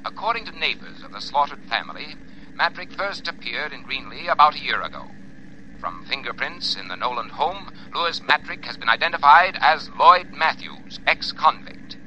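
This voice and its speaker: A very sharp, forceful newsreader, pitched on the high side. He delivers a formal vintage bulletin through a car radio with faint noise. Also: expressive, normal speed, full character voice, low-quality recording. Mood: urgent, formal. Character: brisk, formal radio newsman reading crime bulletin. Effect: heard through a car radio. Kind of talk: 1940s radio newscast.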